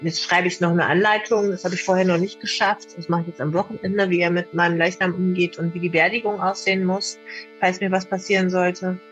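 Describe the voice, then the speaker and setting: A woman's voice: on the low side, level tone, fairly quick, fairly bright tone. A woman giving a spontaneous personal account in an interview as a soldier. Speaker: military observer being interviewed. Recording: slightly dull recording over faint music.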